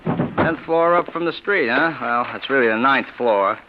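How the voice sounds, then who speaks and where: An actor playing a skeptical working-class apartment superintendent with an offhand, folksy manner in an old radio drama. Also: male voice, mid-range voice, animated, moderate pace, background noise, natural voice. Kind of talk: 1940s-50s radio drama acting. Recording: muffled vintage radio recording.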